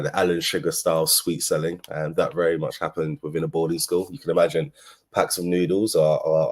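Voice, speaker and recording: Very deep-voiced London man. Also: a little mellow. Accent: London English. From London, England. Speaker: agency co-founder, speaker. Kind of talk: informal business presentation, telling own story.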